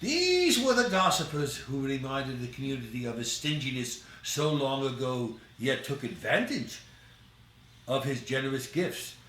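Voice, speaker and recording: Slow, theatrical male storyteller in echoey room. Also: medium pitch, big pitch swings, fairly bright tone. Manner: theatrical, declamatory. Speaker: author reading his own short story. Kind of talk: dramatic storybook narration. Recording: echoey room, distant from mic.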